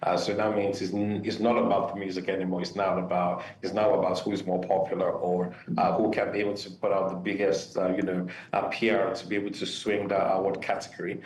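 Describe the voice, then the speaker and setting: A low-voiced man argues his view, stalling on fillers as he goes. He sits away from the mic in a roomy space, with faint noise around him. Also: little pitch movement, brisk, few pauses, a little mellow, distant mic. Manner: hesitant, many fillers, opinionated. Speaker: music commentator guest. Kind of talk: opinionated discussion.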